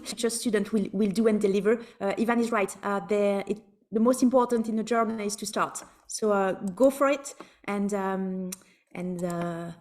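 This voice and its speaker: A woman in her forties with a French accent, giving an encouraging webinar lecture over a video call. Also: on the high side. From France and Switzerland. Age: 40s.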